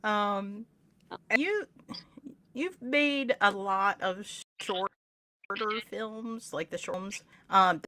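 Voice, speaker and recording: A woman hosting a podcast in a casual interview style, informal and a little halting, with joking asides. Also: medium pitch, sharp tone, dry recording. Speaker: podcast host.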